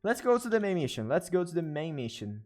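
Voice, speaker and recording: A man, a native Portuguese speaker with an accent, chatting casually as a game streamer in halting, repetitive phrases. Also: a little high, very animated, a little mellow, soft background music. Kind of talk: casual live stream chat.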